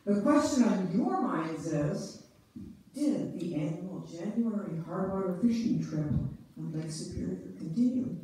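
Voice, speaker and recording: Mellow woman narrator, very echoey. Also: on the low side, animated, measured pace. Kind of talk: scripted stage narration. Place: church sanctuary stage, indoors. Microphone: distant audience camera. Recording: echoey church hall from far, faint noise.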